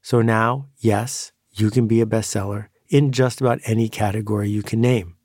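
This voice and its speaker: A man hosting his own podcast, talking through an idea in a measured, musing way with pauses for emphasis. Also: fairly low pitch, steady tone, darkish tone, slightly rough, dry recording, studio quality. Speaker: podcast host. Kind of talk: reflective monologue.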